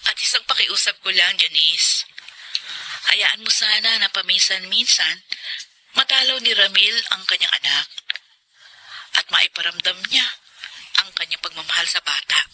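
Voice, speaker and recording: A woman's voice: mid-range voice, normal speed, long pauses, bright, forceful tone, rough recording. A woman with a Filipino accent playing a feisty young wronged wife in a radio drama. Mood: subdued, forgiving. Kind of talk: Tagalog radio drama acting.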